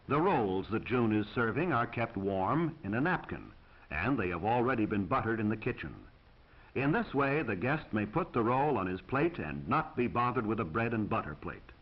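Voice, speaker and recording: A man's voice: medium pitch, expressive, moderate pace, dull audio. An American man reading scripted 1940s instructional film narration in a formal, precise, instructive manner on an old film soundtrack.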